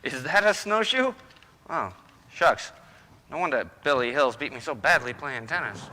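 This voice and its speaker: A man's voice: medium pitch, very animated, moderate pace, natural voice. A man playing a folksy, stubborn, wisecracking husband in an old-time radio comedy, boastful and loose with his grammar. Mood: defensive. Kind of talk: 1940s radio comedy acting. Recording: roomy hall reverb, noisy background.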